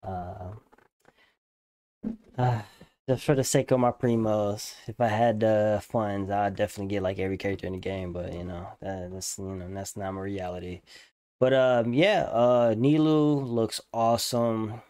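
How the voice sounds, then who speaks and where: A man's voice: on the low side, steady tone, normal speed, dark tone, no room sound. A young man giving casual, slangy reaction commentary in a laid-back way. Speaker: reaction video host. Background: game trailer music.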